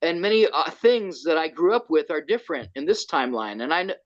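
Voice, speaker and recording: A man running a conference, talking excitedly and rambling with lots of restarts over a video call. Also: a little high, brisk. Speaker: conference organizer. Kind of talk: casual video call chat. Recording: dull lo-fi call audio.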